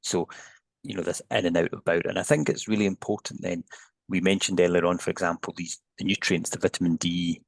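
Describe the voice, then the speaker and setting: Scottish male scientist guest, very low gravelly voice, dry close sound, careful explainer. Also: steady tone, brisk, no echo. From Scotland. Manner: thoughtful, hedging explanations with restarts. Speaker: headache neuroscientist guest. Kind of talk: podcast interview.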